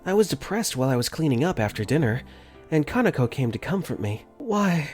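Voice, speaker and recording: A young man voicing an earnest, caring dorm caretaker for a fan dub, gently narrating his own thoughts in first person. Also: on the high side, sing-song, brisk. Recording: faint music under the voice.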